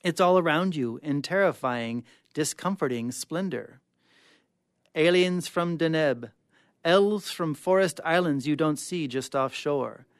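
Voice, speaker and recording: A man with a clear, smooth voice giving a dramatic reading of short stories as a guest reader. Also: fairly high pitch, no room sound, studio quality. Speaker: guest reader of short fiction on a radio show.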